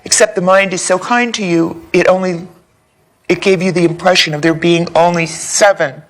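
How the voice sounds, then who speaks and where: A woman giving personal testimony in an interview, halting and reflective as she speaks. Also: fairly low pitch, fairly even intonation. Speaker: interviewee, abuse survivor.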